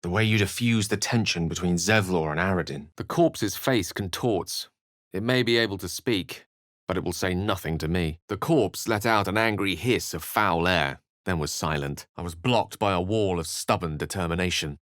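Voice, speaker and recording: Scholarly wizard, low-pitched, eloquent, theatrical, self-assured romantic, video game character, dry studio sound. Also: male voice, normal speed, fairly bright tone, no room sound. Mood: thoughtful. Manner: eloquent, theatrical, ornate phrasing.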